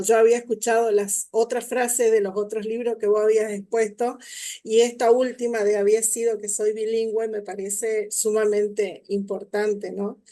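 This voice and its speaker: A woman's voice: mid-range voice, warm tone. A woman moderating an academic talk over a video call, hesitant, with many fillers and restarts. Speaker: symposium moderator.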